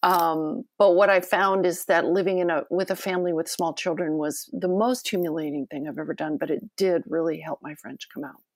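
A woman with a soft voice, a guest telling animated stories in a casual interview. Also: on the low side, brisk, hardly any pauses, no room sound.

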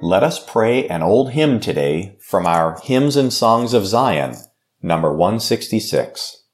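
Man, pastor, low voice, unhurried, measured King James reading, devotional. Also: big pitch swings, faint music behind. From United States.

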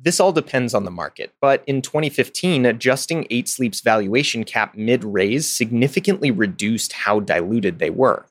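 A man reading scripted narration for a business podcast in a polished, even delivery. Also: medium pitch, normal speed, hardly any pauses, no echo, studio quality.

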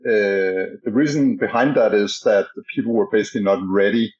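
A man's voice: fairly low pitch, steady tone, fairly quick, a little mellow, dull audio. A man from Denmark with a Danish accent, a startup co-founder talking as a podcast guest, with many fillers and restarts. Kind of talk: casual interview talk.

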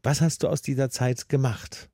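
A man's voice: medium pitch, steady tone, moderate pace, dark tone, no room sound. A man hosts a podcast in a dry, close studio sound with a mid-range voice. He is chatty and teasing, laughs often, and rambles a little as he frames his questions. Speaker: podcast host. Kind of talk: daily podcast interview.

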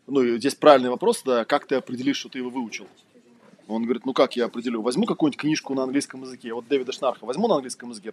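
A man's voice: medium pitch, a little mellow, slight background noise. A man giving an informal lecture, conversational and rambling, telling anecdotes with a wry manner. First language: Russian. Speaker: trainer, lecturer.

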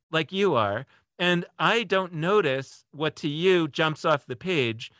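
A man's voice: fairly high pitch, a bit bright, no room sound. A man speaking as a podcast guest, explaining ideas in a casual, discursive way as he thinks aloud.

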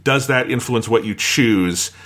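A man with a bright, clear-edged voice hosts a casual discussion show. He speaks informally, as in a chat among friends, and lets the odd swear word slip in. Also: medium pitch, unhurried. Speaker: podcast host.

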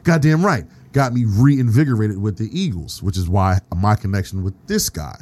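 A man hosting a casual podcast chat, excitable and profane, laughing often. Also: fairly low pitch, normal speed. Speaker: podcast host.

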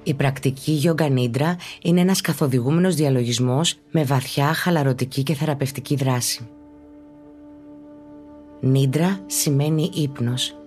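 A woman calmly narrates a guided relaxation practice as a teacher, in a measured, instructional way. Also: rumbling low. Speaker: yoga and meditation teacher, podcast host.

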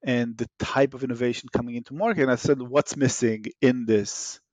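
A man's voice: medium pitch, fairly even intonation, brisk, no room sound. A man from Israel with an Israeli accent, a startup founder talking animatedly in an interview, restarting often with fillers. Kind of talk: casual interview talk.

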